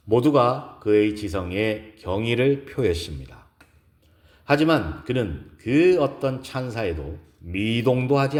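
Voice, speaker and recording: A man with a fairly low voice reads a scripted romance story. His delivery is slow and solemn, with measured pauses between phrases, in the manner of dramatic audiobook storytelling.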